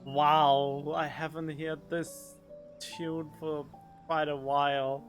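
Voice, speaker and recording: A man's voice: on the high side, little pitch movement, slow pace, faint music behind. A man giving casual gameplay commentary as a streamer, reading out dialogue choices. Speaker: game streamer. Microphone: close studio microphone.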